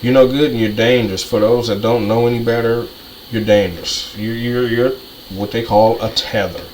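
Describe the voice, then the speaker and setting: A man's voice: mid-range voice, steady tone, moderate pace, low-quality recording. Ranting man with faint noise behind. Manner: ranting, repetitive, profane. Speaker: commentator. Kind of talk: heated monologue.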